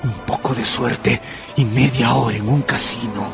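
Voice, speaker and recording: A man's voice: medium pitch, fairly even intonation, normal speed, creaky, natural voice. An actor playing a bitter, obsessive ruined gambler in a radio drama, brooding and dramatic as he talks to himself and looks back. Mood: desperate, obsessive. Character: bitter, obsessive ruined male gambler recalling his youth. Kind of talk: radio drama monologue and narration. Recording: music behind the voice.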